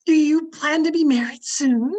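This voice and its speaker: A woman's voice: sits high, normal speed, warm tone, lightly acted. A woman acting a gushing, sentimental older aunt and busybody matchmaker in an old-time radio comedy, fluttery in manner. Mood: gushing, delighted.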